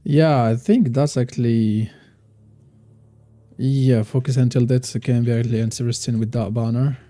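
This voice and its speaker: Dark-voiced man streaming a game. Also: mid-range voice, fairly even intonation, dry recording, soft background music. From Morocco. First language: Moroccan Arabic. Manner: thinks aloud, chats with viewers, halting non-native phrasing. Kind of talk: casual live-stream gameplay commentary.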